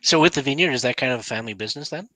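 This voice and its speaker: A man's voice: medium pitch, very fast, pushed and bright, dry recording. A Canadian man hosting an interview, asking easygoing questions. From Calgary, Canada. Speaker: host. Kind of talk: casual interview chat.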